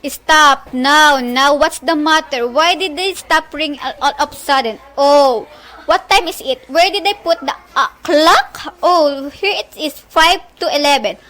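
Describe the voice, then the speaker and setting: A nervous, demanding wife alone at home, played by a young woman in an amateur radio drama. The voice is high and the lines come slowly, with stumbles over the words. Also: pushed and bright. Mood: fretful, anxious. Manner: halting line delivery, stumbling over words. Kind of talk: amateur radio play acting.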